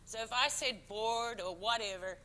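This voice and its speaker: A woman's voice: a little high, moderate pace, sharp tone, a little hiss or hum. A woman serving as town commissioner, speaking English as a second language, who talks emphatically and defensively with many restarts at a public board meeting. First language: not English. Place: town council meeting room.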